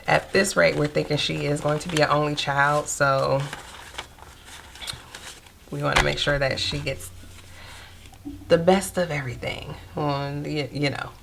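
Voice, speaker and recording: A young woman with a stuffy nose from a cold, chatting casually to viewers while counting out cash in a steady murmur. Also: low-pitched, a little slow, vocal fry, pauses often, slight background noise. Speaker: budgeting vlogger.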